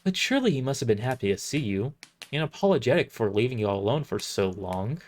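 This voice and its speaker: Audio drama role: kind big-sister healer woman, soft-mannered and caring, played by a man. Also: medium pitch, very animated, fast, close to an everyday voice. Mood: gentle concern. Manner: gentle, caring. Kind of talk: amateur audio drama character reading.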